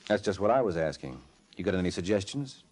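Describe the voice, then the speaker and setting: An actor playing a tough, wisecracking, unflappable private detective in a sardonic, clipped 1940s radio drama style. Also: male voice, low-pitched, expressive, fast talker, a little mellow. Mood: cool needling. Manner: sardonic, clipped, hard-boiled. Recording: old-time radio recording.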